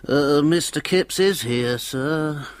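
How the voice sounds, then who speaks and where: An actor playing an obsequious, dry British office clerk in a radio drama. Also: male voice, on the high side, slow, noisy background. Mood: dry, deferential. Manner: servile, dry, punctuated with "sir".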